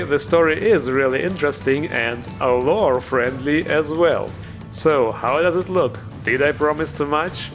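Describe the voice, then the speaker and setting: Enthusiastic man, higher-pitched, reviewing games. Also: fairly bright tone. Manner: enthusiastic, joking. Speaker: host of a game mod review show. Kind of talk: informal video game commentary. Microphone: voice-over recorded over gameplay music.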